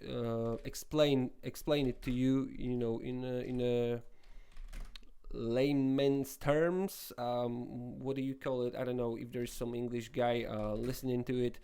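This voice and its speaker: A man's voice: mid-range voice, steady tone, a little slow, mellow, smooth. A young man with a Polish accent, a non-native English speaker, hosting a web development tutorial in a rambling casual way with many restarts and fillers. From Poland. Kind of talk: casual screen-recorded tutorial talk.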